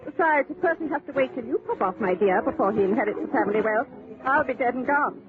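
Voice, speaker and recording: A woman acts out a grand English hostess in an old radio play, gushing and condescending. Her upper-class British voice swoops about with arch, sly sweetness. It comes through a thin, clearly noisy old broadcast. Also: a little high, animated, quick pace, narrow, tinny audio, close to an everyday voice. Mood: arch, teasing. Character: haughty, catty English society hostess, sugary and sly. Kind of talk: 1940s radio acting.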